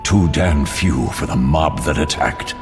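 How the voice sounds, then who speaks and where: An actor playing a grave, fatherly older mentor of monster hunters in a video game, speaking in a measured, solemn way. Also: rumbling low. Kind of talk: dramatic video game dialogue. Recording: long hall reverb with music behind.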